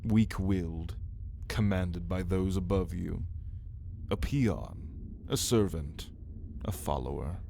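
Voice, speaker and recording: A man's voice: low voice, slow pace, creaky, dark tone, a little hiss or hum, exaggerated voice. A man playing a brooding, menacing caged vampire in an ASMR roleplay, speaking in a theatrical, taunting way with archaic wording. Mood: scornful. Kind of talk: ASMR roleplay, dramatic monologue to listener.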